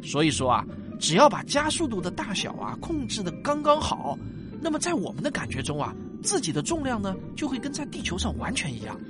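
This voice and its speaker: A man playing a patient, affectionate father who explains science didactically, stretching his phrase endings, in a sci-fi audio drama. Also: high-pitched. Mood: instructive. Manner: didactic, drawn-out sentence particles, animated. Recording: music bed behind voice.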